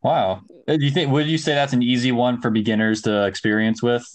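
Young man, podcast host, relaxed slangy talk, medium pitch. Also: fairly quick. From Ohio. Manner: laid-back, casual slang. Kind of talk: casual chat.

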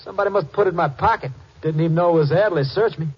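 A man's voice: on the high side, racing, breathy edge. An actor playing a young American ex-convict suspect who protests his innocence in a folksy, hesitant, pleading way, in 1950s radio drama style. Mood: indignant, pleading. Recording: muffled vintage radio recording.

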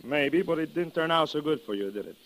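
Sly killer. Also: male voice, a little high, lively intonation, a little fast, fairly bright tone. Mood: mocking menace. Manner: sly, mocking, threatening. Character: menacing, smooth Mexican male killer, mocking and cold. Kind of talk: 1940s-50s radio drama acting.

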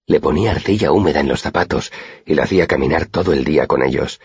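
A man's voice: very deep voice, quick pace, darkish tone, husky, no room sound. A man reading a first-person literary story as an audiobook narrator, in a dull, muffled recording.

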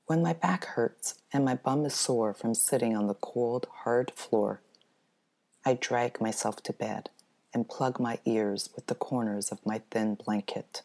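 A woman's voice: a bass voice, a little slow, darkish tone. A woman giving a scripted first-person reading of a horror story. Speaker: story reader.

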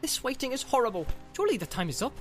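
A man's voice: extremely high pitch, sing-song, quick pace, fairly bright tone, soft background music, voice pushed up, heavily acted. A man voicing a restless young male hobbit who sounds worried and impatient. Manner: impatient, exclamatory.

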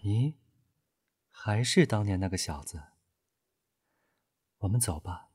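Enigmatic world-weary male played by a man, audio drama, low husky voice, unhurried musing. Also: expressive, darkish tone, full character voice. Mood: mildly surprised. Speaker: voice actor. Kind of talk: modern Chinese audio drama acting.

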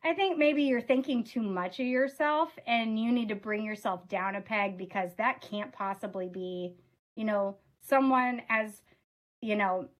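A woman with a slightly raspy voice, a ministry leader answering interview questions in an earnest, casual way over a remote call, with fillers like "oh my gosh". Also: medium pitch, a bit bright.

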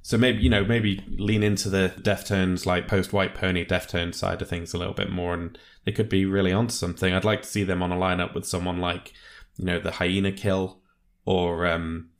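Male co-host, deep voice, measured and casual, reviewing music. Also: level tone. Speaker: podcast co-host.

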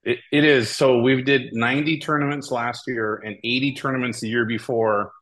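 Middle-aged male interview guest from Hawaii, average-pitched voice, conversational storyteller. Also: fairly even intonation, moderate pace, a bit bright. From Hawaii, United States. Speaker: podcast guest, tournament director. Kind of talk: casual interview talk, storytelling.

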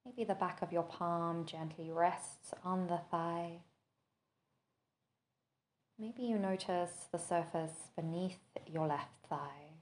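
Slow, low-voiced woman guiding meditation. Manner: gentle, measured instruction with long pauses. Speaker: podcast host and meditation teacher. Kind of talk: guided body scan meditation.

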